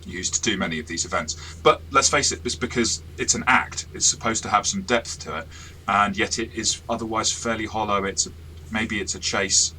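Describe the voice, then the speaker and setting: Deep-voiced man reviewing a game module. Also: moderate pace, sharp tone, a little hiss or hum, low-quality recording. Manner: chatty, self-deprecating, restarts often. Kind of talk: casual review chat.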